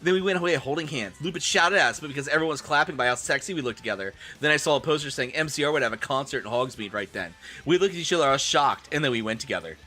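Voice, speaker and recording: A man streaming a comedic dramatic reading in a theatrical, expressive manner. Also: mid-range voice, very fast, hardly any pauses.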